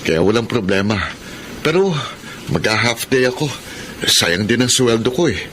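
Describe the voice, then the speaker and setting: An actor playing a self-assured, money-minded young accountant in a Filipino radio drama, speaking casually. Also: male voice, mid-range voice, lively intonation, unhurried, background noise, natural voice. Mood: breezy, assured. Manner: casual, confident. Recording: studio radio drama over loud music.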